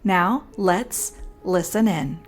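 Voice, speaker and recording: A woman's voice: lowish voice, slow. A woman hosting a podcast, speaking a scripted introduction straight to the listener. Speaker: podcast host.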